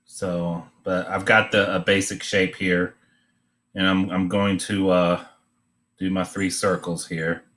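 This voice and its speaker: A man giving an informal, unscripted drawing demonstration, thinking aloud in a halting way as he teaches. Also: deep voice, little pitch movement, a bit bright.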